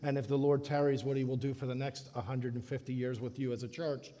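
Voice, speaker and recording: A man from the Dominican Republic preaching a sermon in a church, halting often and restarting his phrases. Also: mid-range voice, little pitch movement, warm tone. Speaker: guest preacher. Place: church sanctuary. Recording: echoey church hall.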